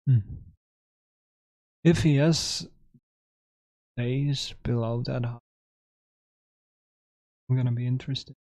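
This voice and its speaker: Male trading streamer, hoarse throat, dark mellow tone, dry room, muttered live commentary. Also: medium pitch, fairly even intonation, dry recording, rough recording. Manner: thinking aloud, halting, self-correcting. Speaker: trading livestream host.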